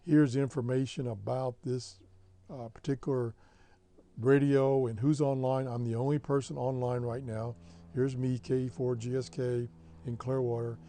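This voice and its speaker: A man's voice: medium pitch, little pitch movement, measured pace, warm tone. An American man hosting a hobby show, giving a casual, plain-spoken tutorial step by step over a screen recording. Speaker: host of a shortwave radio hobby show.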